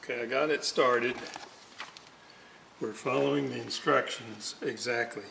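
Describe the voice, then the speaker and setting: A man giving a casual hands-on repair walkthrough, halting and muttering to himself as he works. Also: mid-range voice, fairly even intonation, gravelly, bright, forceful tone, slight background noise, rough recording. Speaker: hobbyist radio restorer, presenter.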